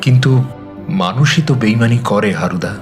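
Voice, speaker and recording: A man narrating a dramatised story in a chatty, expressive way, with conversational, teasing asides. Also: lowish voice, moderate pace. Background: background music.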